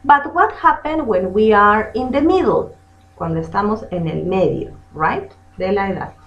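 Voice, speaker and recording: A woman's voice: mid-range voice, a bit bright. A native Spanish-speaking woman giving a bilingual language lesson as teacher and host, carefully drilling phrases by repetition. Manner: bilingual, switches between English and Spanish, has listeners repeat. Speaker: English teacher, channel host. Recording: muffled lo-fi sound in echoey room.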